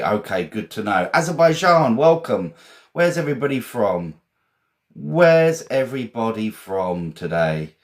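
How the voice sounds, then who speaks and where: A man from England with an English accent, teaching a casual live vocabulary lesson in a chatty, encouraging, informal way and often repeating phrases. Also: mid-range voice.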